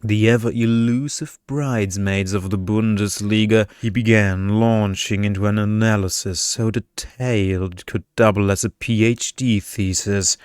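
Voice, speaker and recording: A man narrating a story in a deliberate, deadpan ASMR style meant for sleep, close to the microphone. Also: on the low side, clean tone, dry recording.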